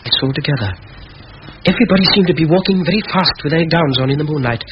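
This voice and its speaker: An actor playing an ardent young Welsh scholar in a 1940s radio drama, with a Welsh accent and an articulate, fervent delivery. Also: male voice, on the high side, fast, natural voice. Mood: fervent, defiant. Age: young adult. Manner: fervent, articulate, pouring out words. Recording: dull, noisy vintage radio recording.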